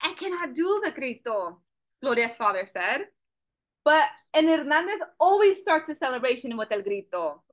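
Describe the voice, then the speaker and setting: A young woman reading a picture book aloud to children on a video call, with a lively questioning manner. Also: sits high, moderate pace. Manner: animated, asks children questions, switches between English and Spanish. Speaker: storytime reader. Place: small office with a Mexico flag poster. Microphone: video call webcam.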